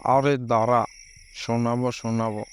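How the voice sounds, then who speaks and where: A man playing an old village grandfather who tells ghost stories in a cartoon, in an unhurried way. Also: mid-range voice, level tone, close to an everyday voice. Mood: unhurried storytelling. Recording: noisy, with night crickets behind.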